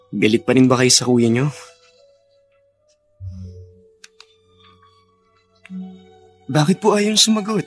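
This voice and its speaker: A man acts a polite young Filipino army officer in a radio drama. His voice is mid-pitched, earnest and gentle, with faint music behind it. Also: close to an everyday voice. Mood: probing. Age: young adult.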